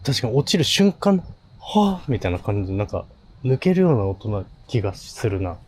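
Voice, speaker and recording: A man's voice: on the low side, big pitch swings, moderate pace, darkish tone, slight background noise. A man co-hosting a ghost-spot exploration, talking casually and reacting to his partner. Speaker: co-host of a haunted-spot exploration channel.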